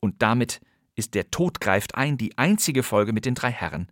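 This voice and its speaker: A man's voice: mid-range voice, very animated, moderate pace, no echo. Man narrating a podcast, dry close sound. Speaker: podcast host. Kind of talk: scripted narration about old radio crime plays.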